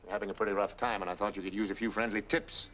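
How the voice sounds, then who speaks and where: Male actor as skeptical American man, low voice, curt wry delivery, 1940s film noir, old soundtrack with faint background noise. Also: fairly even intonation, racing, a bit bright, highs cut off, close to an everyday voice. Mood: brisk, practical. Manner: brusque, matter-of-fact, wry. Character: brusque, skeptical married man, hard-nosed fact-finder.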